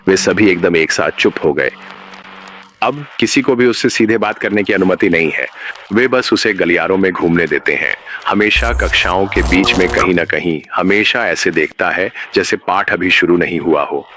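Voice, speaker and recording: Deep-voiced man narrating horror, noisy backdrop. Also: a bit bright.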